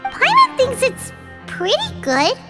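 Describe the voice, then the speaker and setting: A woman voicing a small, perky, childlike fairy companion in a video game, squeaky and cartoonish. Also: huge pitch swings, slow pace, loud music behind the voice, exaggerated voice. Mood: chirpy. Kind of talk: video game character voice acting.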